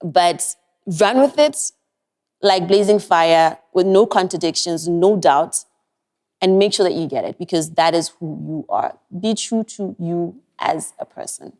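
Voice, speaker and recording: Young woman w an american-sounding accent, kinda low voice, thoughtful and earnest, repeats her points for emphasis. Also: a little slow, a little mellow. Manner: reflective, earnest advice with emphatic repetition. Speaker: guest. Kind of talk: podcast interview.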